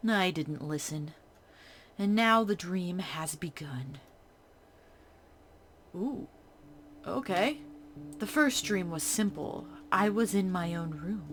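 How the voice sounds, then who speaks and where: A woman playing a horror visual novel, reading its text in a hush and breaking off into casual reactions. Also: fairly low pitch, slight background noise. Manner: hushed reading broken by chatty reactions. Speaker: game streamer. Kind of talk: reading a game's text aloud with casual commentary.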